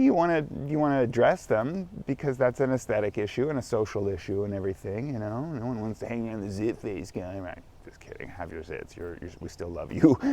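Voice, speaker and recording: A man's voice: fairly low pitch, a little mellow. A man in his forties, vlogging outdoors in morning sunshine, talking candidly in a rambling, self-correcting way. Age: 40s. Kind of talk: casual self-recorded vlog.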